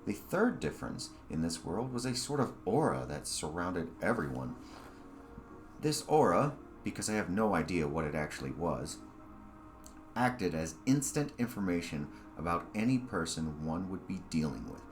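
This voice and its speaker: Lower-pitched man narrating with lively intonation. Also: normal speed, faint music behind. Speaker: author reading own story. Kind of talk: scripted narration of a short story.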